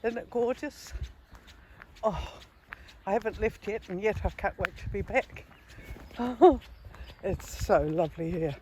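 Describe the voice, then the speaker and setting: Kiwi lady chatting slow on a walk, bit puffed, loving it, faint outdoor noise n birds. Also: mid-range voice, a little mellow. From New Zealand. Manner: admiring, unhurried, chatting while walking. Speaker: travel vlogger. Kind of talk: casual walking vlog chat. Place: outdoors on a hilly rural road. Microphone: handheld camera while walking. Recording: outdoor handheld recording with ambient noise.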